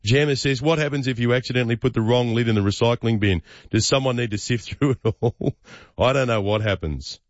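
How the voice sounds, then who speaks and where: An Australian man hosting radio, reading listener messages and bantering with callers in a brisk, joking way. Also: medium pitch, dry recording, highs cut off. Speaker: radio host. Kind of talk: breakfast radio chat.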